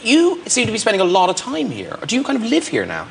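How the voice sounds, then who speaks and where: A man's voice: high voice, huge pitch swings, fast, vocal fry, heavy background noise. An Irish man hosting a talk show, asking teasing, playful questions.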